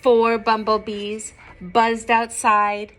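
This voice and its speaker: Slow-paced woman reading a storybook to children. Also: fairly high pitch. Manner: expressive, simple explanations and questions for children. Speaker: teacher reading aloud to children. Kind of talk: storybook read-aloud with asides to young listeners.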